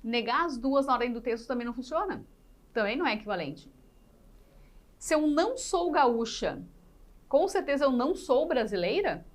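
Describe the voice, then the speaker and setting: A woman teaching an exam-prep class step by step, repeating key points and putting questions to learners. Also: high-pitched. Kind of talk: live lesson explaining exercises step by step.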